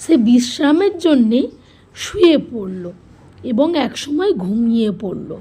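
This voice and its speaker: Female children's storyteller, expressive melody, husky edge, scripted narration. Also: on the high side, measured pace.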